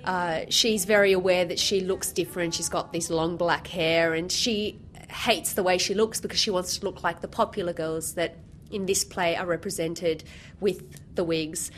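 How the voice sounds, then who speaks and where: A woman in her thirties, an author and theatre director, speaking in a radio interview in an earnest, reflective way with soft hesitations. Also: lowish voice, fairly even intonation, normal speed, slight background noise. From Australia. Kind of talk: radio interview, then reading her children's book aloud.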